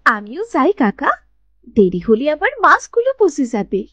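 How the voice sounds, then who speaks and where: A woman voicing a caring, fussing elder sister, a poor young village woman in an animated moral story. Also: high voice, moderate pace, husky, no echo, heavily acted. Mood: pleased. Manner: expressive, fussing, motherly.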